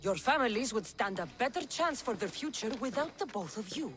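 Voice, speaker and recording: An actress playing a commanding, dry-witted mercenary heroine in a video game. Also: female voice, on the high side, expressive, a little fast, a bit bright. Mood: stern. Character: commanding female mercenary hero.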